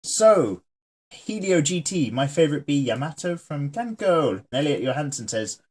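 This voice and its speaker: A man's voice: on the high side, big pitch swings, normal speed, a little mellow, breathy edge. A man hosting his own channel, chatting casually and joking while he sketches and reads viewer comments aloud. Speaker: artist and channel host.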